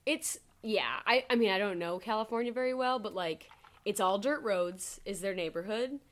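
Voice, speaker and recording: Brief: young woman with a high voice, casual true-crime podcast co-host, filler-heavy and chatty. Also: moderate pace.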